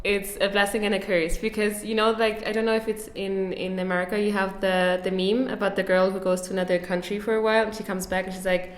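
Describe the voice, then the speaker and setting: A woman's voice: mid-range voice, flat delivery, quick pace, few pauses. A woman talking as a guest on a podcast, chatty and full of fillers and laughs. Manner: chatty, many fillers and laughs, puts on voices in quotes. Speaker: podcast guest, German teacher. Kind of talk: casual interview chat. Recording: echoey room.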